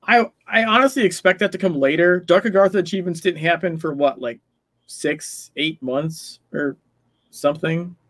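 Man chatting about games, higher-pitched, dry sound. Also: moderate pace, a bit bright, no echo. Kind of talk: casual gaming discussion.

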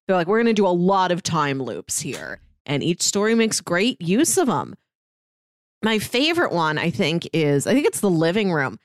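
A woman's voice: fairly low pitch, big pitch swings, moderate pace, dry recording, studio quality. A woman co-hosting a casual review chat, retelling stories in a conversational way. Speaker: podcast co-host reviewing audio drama.

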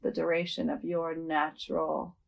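A woman leading a guided yoga nidra meditation as a host, speaking gently and without hurry, with long pauses. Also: low voice, level tone, gravelly, lo-fi sound.

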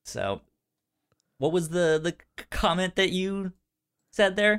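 A man's voice: sits high, lively intonation, slow pace, fairly bright tone, clean tone. A man chatting casually as a podcast co-host, stuttering on first sounds of words and acting out conversations as he tells stories. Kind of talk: casual storytelling chat.

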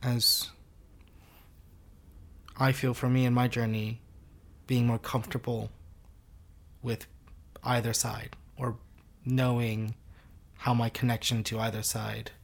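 A young man from the United States, speaking in an interview in a reflective, measured way, stretching out key phrases. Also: medium pitch, little pitch movement, vocal fry. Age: young adult. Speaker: guest, student organiser. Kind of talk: conversational interview.

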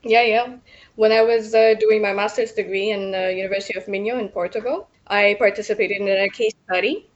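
A young woman from Ethiopia, a civil engineer answering radio interview questions with many pauses and fillers. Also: medium pitch, steady tone, dull audio.

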